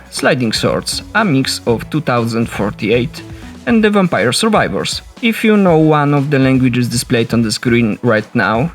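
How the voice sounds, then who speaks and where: A man with a Polish accent narrates a game development log in a steady, explanatory voice at a mid pitch. Soft music plays faintly behind him. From Poland. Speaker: solo indie game developer. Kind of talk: scripted devlog narration.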